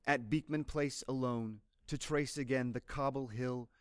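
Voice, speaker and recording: A man gives a measured poetry recitation at a very unhurried pace. His voice is mid-pitched and resonant, recorded very dry and close. Each phrase is placed with deliberate care. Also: fairly even intonation, smooth, no room sound. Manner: measured, deliberate, literary delivery. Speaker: poetry reader.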